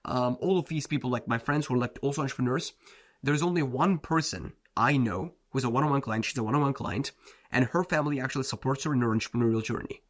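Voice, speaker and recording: A man's voice: mid-range voice, fast talker, a bit bright. A man whose first language is Turkish, a self-improvement coach giving a blunt, slangy motivational talk, often saying "bro" and "like". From Turkey.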